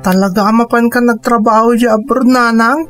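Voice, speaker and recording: A woman's voice: a little high, fairly even intonation, normal speed, music in the background, lightly acted. A woman voicing an anxious young boy who keeps asking pleading questions in a radio drama. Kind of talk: Ilocano radio drama acting.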